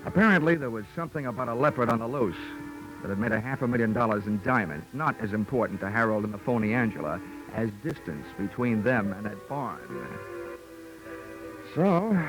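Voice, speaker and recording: 1940s radio detective, American male actor: sardonic, punchy, lively intonation, on a vintage recording. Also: medium pitch, a little fast, music in the background, natural voice, rough recording. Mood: wry. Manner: dry, sardonic, punchy delivery. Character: wry, money-minded hard-boiled male insurance investigator, narrating.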